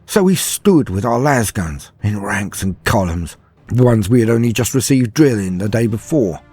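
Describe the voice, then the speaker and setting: A man playing a frightened, haunted far-future soldier, telling his horror story in a dramatic first-person monologue with brooding pauses and shifts from hushed to emphatic. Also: fairly low pitch, lively intonation, slightly rough, faint music behind. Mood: grim recollection. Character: frightened, haunted far-future soldier, former factory worker, recalling terrors.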